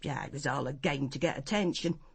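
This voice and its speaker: A woman's voice: a bass voice, a little fast, creaky, husky, no room sound, heavily acted. A woman playing a disapproving, defensive household servant in a radio drama, with an English accent and a blunt, grudging manner. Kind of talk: BBC radio drama acting.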